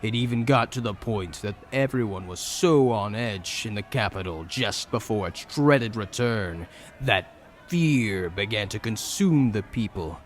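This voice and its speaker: A man's voice: on the low side, animated, measured pace. A man narrating a scripted fantasy audio drama in a dramatic storytelling style. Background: music.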